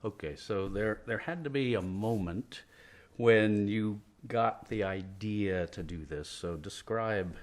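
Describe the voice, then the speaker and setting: A man hosting a podcast interview, asking guests measured, prompting questions. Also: fairly low pitch.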